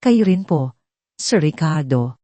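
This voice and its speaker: A gentle young housemaid from an audio storybook drama, voiced by a woman narrator, polite and earnest. The voice is mellow and fairly low, with firm principles behind it. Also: lively intonation, slow, dry recording, full character voice. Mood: gentle, reassuring.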